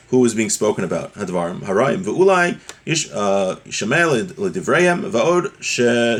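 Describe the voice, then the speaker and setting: A man giving a religious lesson, reading from a text and explaining it conversationally with rhetorical questions. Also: medium pitch. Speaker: religious teacher.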